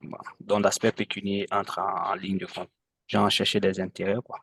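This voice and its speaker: A man gives an informal, halting answer as a participant in an online training. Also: low voice, completely flat delivery, vocal fry, a bit bright, breathy edge, dry recording, clean studio sound. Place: on a video call, camera off.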